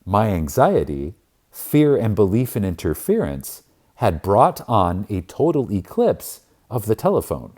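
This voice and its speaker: A man narrating a spiritual audiobook in a reverent, emphatic manner with deliberate dramatic pauses. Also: on the low side, very animated, dark tone.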